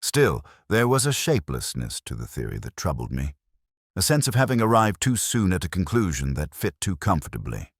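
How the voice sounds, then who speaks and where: A man playing a brooding, world-weary police inspector, narrating a mystery in measured, literary, ruminative first person. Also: low voice, dry recording, clean studio sound.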